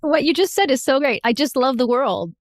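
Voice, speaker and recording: A woman, a consultant telling stories as a podcast guest in an enthusiastic, expressive way. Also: high-pitched, brisk, no echo, studio quality. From United States. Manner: enthusiastic, expressive, laughs often. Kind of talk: storytelling in an interview.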